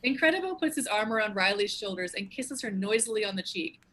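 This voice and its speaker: A woman reads stage directions as the narrator of a script. Her voice is bright and forceful at a middling pitch, in a scripted narration style. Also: animated, fast talker.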